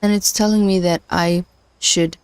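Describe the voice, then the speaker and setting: A woman's voice: mid-range voice, steady tone, normal speed, darkish tone, smooth, no room sound. A woman hosting a casual livestream, thinking aloud with many fillers and restarts while she is losing her voice. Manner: hesitant, many fillers and restarts, talks to herself. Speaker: livestream host learning machine learning.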